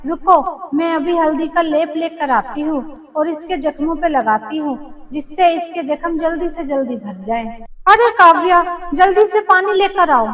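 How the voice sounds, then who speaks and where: A young cartoon fairy-tale heroine voiced by a woman speaks extremely high, with theatrical exclamations. The sound is distant from the mic and full of echo. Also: a bit bright. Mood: concerned. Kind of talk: Hindi cartoon story voice acting. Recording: echoey, distant-sounding voice track.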